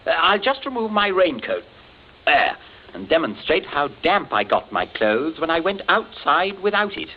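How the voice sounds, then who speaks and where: An actor playing a sly, sardonic gentleman captain with a dry, toying manner, in 1940s radio acting. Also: fairly high pitch, big pitch swings, moderate pace. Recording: dull old radio recording with faint hiss.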